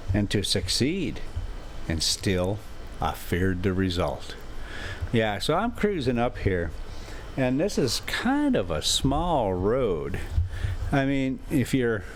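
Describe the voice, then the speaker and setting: A man hosting a casual travel vlog, rambling in a folksy, halting, thinking-out-loud way as he chats and reads aloud. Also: lowish voice, a little hiss or hum. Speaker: RV travel vlogger and host.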